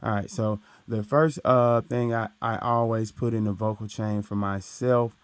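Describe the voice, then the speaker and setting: A young man with a deep voice casually explaining recording gear, talking into his studio mic and tagging his points with "right?". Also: steady tone, unhurried, smooth, dry recording. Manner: explains loosely with frequent "right?" and "uh". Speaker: recording engineer teaching. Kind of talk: casual tutorial walkthrough. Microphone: studio microphone through his interface.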